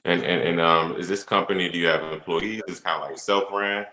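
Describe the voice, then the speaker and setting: A man hosting a podcast, asking casual interview questions in a halting way and restarting with repeated words. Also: low-pitched, fairly even intonation, pushed and bright. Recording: echoey room, distant from mic.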